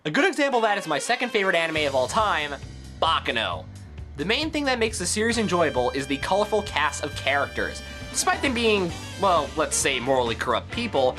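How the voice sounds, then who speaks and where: A man delivering scripted review commentary with opinionated, sarcastic asides. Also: high voice. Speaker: video reviewer.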